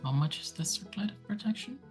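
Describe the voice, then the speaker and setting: Hesitant man with a lively pitch. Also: fairly quick, over music. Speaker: player in a tabletop game. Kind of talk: casual game chat.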